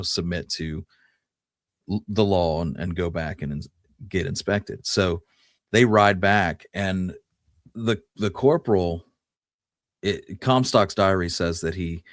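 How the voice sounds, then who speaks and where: A man co-hosting a podcast, telling a history story conversationally with folksy, halting phrasing. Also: sits low, no room sound, clean studio sound.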